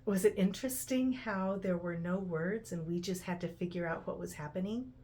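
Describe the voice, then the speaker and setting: A woman narrating a picture book to children in an expressive, conversational way, using simple explanations and checking in with questions. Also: lowish voice, moderate pace. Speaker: children's read-aloud host.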